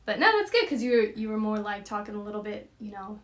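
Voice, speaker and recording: A young woman hosting a casual chat, chatty and quick to laugh as she tries out accents. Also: medium pitch, lively intonation, a little hiss or hum. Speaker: host.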